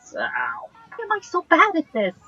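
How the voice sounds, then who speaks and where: A woman playing a sweet, playful young girlfriend from Canada in an audio drama, with a breathy, husky voice and a gently teasing manner. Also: sits high, huge pitch swings, unhurried, no room sound, muffled recording, heavily acted. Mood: charmed, playful.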